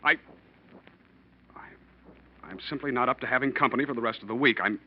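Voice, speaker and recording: An actor playing a nervous, guilty, evasive husband in a 1940s radio drama, stammering and jumpy. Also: male voice, medium pitch, brisk, natural voice. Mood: jumpy, irritable. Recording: dull old radio recording.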